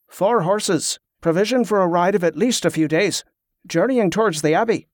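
A man's voice: sits high, normal speed, dry recording, studio quality, voice pushed up, close to an everyday voice. A man voicing an imposing, presumptuous pilgrim priest for a fantasy audiobook, with a thick invented accent and clipped, inverted phrasing that makes requests sound like commands. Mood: pressing, presumptuous. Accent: thick fictional foreign accent.

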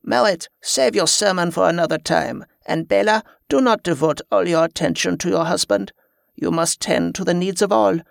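Man as a commanding older woman, calmly firm. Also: high voice, creaky, dry recording, clean studio sound. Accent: foreign-sounding invented accent. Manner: firm, measured, authoritative.

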